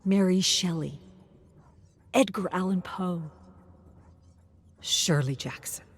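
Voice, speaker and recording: Low-voiced woman narrating slowly and dramatically. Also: breathy edge. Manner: measured, deliberate, dropping to hushed tones. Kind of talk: dramatic scripted story narration.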